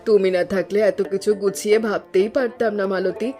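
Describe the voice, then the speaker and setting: A caring mother in an animated village story, voiced by a woman. She is middle-aged and eager, speaking at a medium pitch. Music plays behind her. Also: brisk, natural voice. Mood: warm, planning. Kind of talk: cartoon voice acting.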